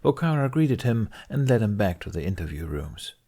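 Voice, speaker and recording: A man's voice: lowish voice, very animated, normal speed, creaky, warm tone, slightly rough. A man reading a scripted story as an audiobook narrator, in a dramatic narrative style.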